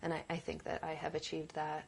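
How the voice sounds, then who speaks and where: A woman with a low, deep voice and a gravelly edge is a guest in an easygoing interview. She speaks earnestly and thoughtfully, circling back and restarting as she works out her points. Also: completely flat delivery, fairly quick. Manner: earnest, reflective, thinks aloud with restarts. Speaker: producer and director, guest. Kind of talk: casual interview conversation.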